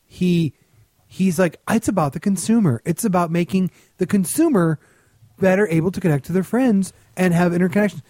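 Male podcast co-host, higher-pitched, sardonic and opinionated, casual comic commentary with a very dry sound. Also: normal speed, a little mellow, dry recording.

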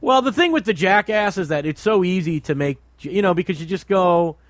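A man's voice: sits high, lively intonation, brisk, dry recording. A man co-hosting a podcast in casual, irreverent, joking banter. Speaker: podcast co-host.

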